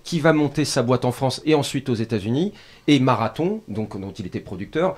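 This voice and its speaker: A man's voice: mid-range voice, expressive, fairly quick, a little mellow. A man co-hosting a studio talk show, adding comments and references.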